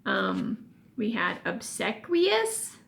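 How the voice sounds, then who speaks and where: A woman teacher reading a children's story aloud expressively, pausing for emphasis and explaining things as she goes. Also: medium pitch, big pitch swings, gravelly, a bit bright.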